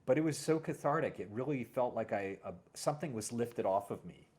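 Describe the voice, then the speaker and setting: A middle-aged man hosting a casual, personal conversation, telling stories in a halting, reflective way. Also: medium pitch, animated, a little mellow. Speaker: host.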